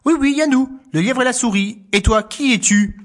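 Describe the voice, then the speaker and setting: A woman voicing a small excited female mouse in a children's story, with a husky, eager voice. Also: on the high side, normal speed, pitched above the performer's own voice, close to an everyday voice. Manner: eager, chirpy, welcoming.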